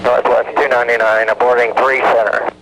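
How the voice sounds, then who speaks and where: A man's voice: mid-range voice, flat delivery, a little fast, a bit bright. A man speaking as an airline pilot to air traffic control over a cockpit radio. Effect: cockpit aviation radio. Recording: noisy cockpit radio transmission.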